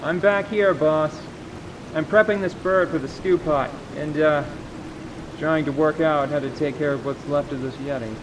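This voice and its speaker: A man playing a gruff, self-doubting adventurer and hunter in a fantasy audio drama, speaking in a hesitant, earthy way. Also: a little high, normal speed, background noise, lightly acted. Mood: weary. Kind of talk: fantasy radio drama acting. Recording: steady rain and stream ambience behind.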